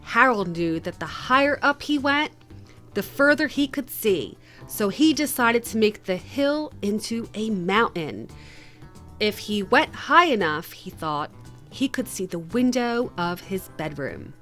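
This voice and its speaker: Slow-paced woman reading a children's storybook. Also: mid-range voice, animated, a bit bright, faint music behind. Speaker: read-aloud host.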